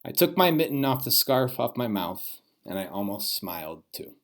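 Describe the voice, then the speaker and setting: A man's voice: mid-range voice, very animated, moderate pace. Man reading a children's storybook aloud.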